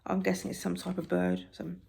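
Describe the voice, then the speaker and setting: Soft-spoken raspy British woman. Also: lowish voice, little pitch movement. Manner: soft-spoken, halting, wry asides. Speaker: ASMR creator. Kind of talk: soft-spoken ASMR newspaper reading.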